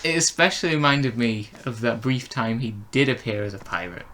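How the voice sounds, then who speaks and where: A man's voice: medium pitch, expressive, fairly quick, a bit bright. A man co-hosting a casual review chat, hesitant as he thinks his opinions through aloud. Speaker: co-host of a review show.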